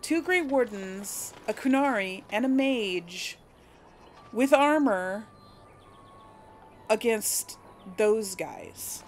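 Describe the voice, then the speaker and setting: Creaky-voiced woman gamer thinking aloud. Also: a little high, lively intonation, extremely slow, long pauses. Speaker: gaming video host and player. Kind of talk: casual game commentary. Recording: some background noise.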